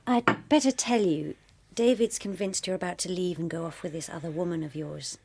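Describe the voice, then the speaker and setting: An actress as a concerned young daughter. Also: female voice, lowish voice, fairly quick, noisy background, lightly acted. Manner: tentative, caring. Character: concerned, gently probing daughter living at home. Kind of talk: naturalistic radio drama acting.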